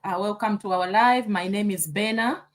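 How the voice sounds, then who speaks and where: A woman's voice: medium pitch, normal speed. A woman from Uganda, a nurse and mentor, chatting as a guest and thinking aloud with friendly fillers. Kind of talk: casual chat.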